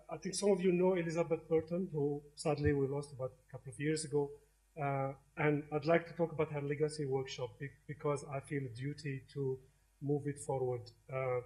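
Middle-aged man from Algeria, university lecture, conversational and halting, ordinary pitch. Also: low-quality recording. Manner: warm, conversational, with frequent hesitations and restarts. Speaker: professor giving a lecture. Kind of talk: inaugural academic lecture. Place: lecture hall.

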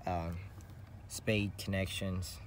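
Slow, rambling man with a low voice. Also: little pitch movement. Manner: rambling, hesitant, repeats phrases. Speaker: vlogger showing a CB radio setup in a truck. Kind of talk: casual hands-on walkthrough. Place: in and around a parked truck. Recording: noisy handheld recording with vehicle ambience.

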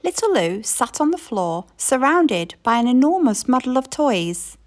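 A woman reading a children's picture book aloud in an expressive storytelling way. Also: sits high. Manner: expressive storytelling, slow and lilting.

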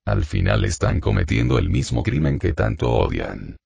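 A man playing an older shopkeeper father, stern but protective, with a gravelly, husky voice in an amateur drama dub. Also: fairly low pitch, huge pitch swings, normal speed, darkish tone, no room sound, muffled recording, exaggerated voice. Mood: stern, disapproving. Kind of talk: amateur Spanish dub acting.

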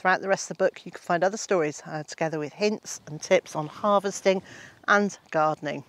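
A woman in her sixties, a gardening author and homestead host, reading a story from her own book aloud outdoors in a shady garden, with lively, animated emphasis. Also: lowish voice, a little fast, a little hiss or hum. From Britain. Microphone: camera-facing, mid-shot.

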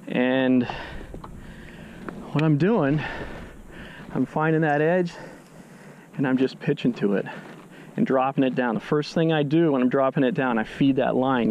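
A man's voice: mid-range voice, darkish tone, muffled recording, a little hiss or hum. A man on a fishing boat outdoors, casually explaining his technique step by step, often restarting his sentences. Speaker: fishing channel host. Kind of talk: instructional fishing commentary.

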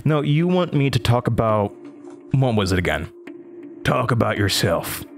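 An American man in his twenties playing a weary, sardonic Midwestern horror radio host in an audio drama, with a dry, halting manner. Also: medium pitch, big pitch swings, vocal fry. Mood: sardonic, uneasy. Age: 20s. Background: music behind the voice.

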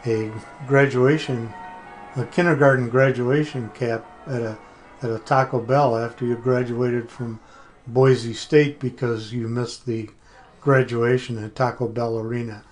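Halting older man. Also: mid-range voice, steady tone, soft background music, rough recording. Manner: halting, hesitant, searching for words. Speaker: podcast guest, former religion writer. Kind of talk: casual interview answers.

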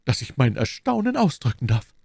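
Man voicing a high-pitched, gentle convent abbess. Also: very animated, brisk, creaky, husky, no echo, clean studio sound, exaggerated voice. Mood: astonished, polite. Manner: polite, formal, solicitous. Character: abbess, gentle, curious, sympathetic pious woman. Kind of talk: audiobook character dialogue.

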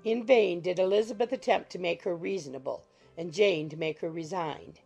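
Measured audiobook narrator, a woman with a low, dark, gravelly voice. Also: faint music behind. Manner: measured, even storytelling.

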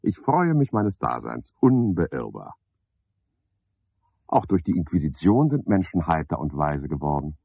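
Radio play: sardonic, pompous night caretaker, theatrical and very animated, dry, slightly dull vintage studio recording. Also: male voice, sits low, slightly rough, dry recording, exaggerated voice. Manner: pompous, sardonic, theatrical one-sided conversation. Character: cynical, self-satisfied, talkative male night caretaker. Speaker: radio drama actor. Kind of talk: 1970s German radio play monologue.

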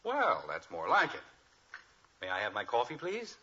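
A man's voice: mid-range voice, huge pitch swings, normal speed, sharp tone, slightly rough, full character voice. A man acting a genial middle-aged American father in a 1950s radio sitcom, playful and mock-grandiose. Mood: mildly exasperated. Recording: muffled old radio recording.

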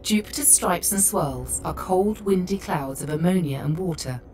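A woman voicing a calm spaceship computer tour guide, giving measured, factual educational narration. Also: lowish voice, natural voice. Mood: calm, informative. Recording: slightly distant voice under music. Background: music.

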